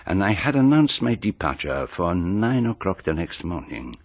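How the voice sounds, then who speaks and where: An actor playing a shrewd foreign detective, measured and formal, in a radio drama. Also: male voice, sits low, sing-song, dry recording, exaggerated voice. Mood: pensive. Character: shrewd, courteous foreign male detective, inwardly brooding. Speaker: detective narrating his own case. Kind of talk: radio drama narration and dialogue.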